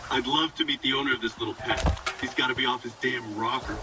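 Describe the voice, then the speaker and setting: An actor playing a crude, mocking mercenary who is itching for trouble in a video game. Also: male voice, mid-range voice, steady tone, quick pace, recorded from a distance, background noise, natural voice.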